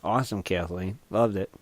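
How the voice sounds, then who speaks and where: A man's voice: on the low side, a little mellow, no room sound. A man hosting a casual radio chat show, upbeat and agreeable, laughing often.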